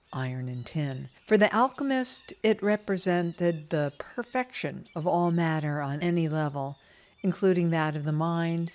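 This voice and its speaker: A woman leading a scripted guided meditation in a calm, soothing, measured way with long pauses. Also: low-pitched.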